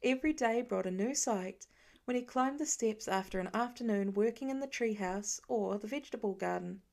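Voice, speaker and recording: A woman's voice: medium pitch, normal speed, creaky, a little mellow. A woman reading a scripted story aloud as an audiobook narrator. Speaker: fan fiction narrator.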